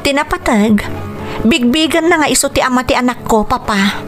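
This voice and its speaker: A woman's voice: high voice, animated, moderate pace, music in the background. A Filipino woman with an Ilocano accent playing an earnest young adult daughter confessing to her father in a radio drama. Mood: earnest, resolute. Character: earnest, confessing grown daughter, pregnant professional.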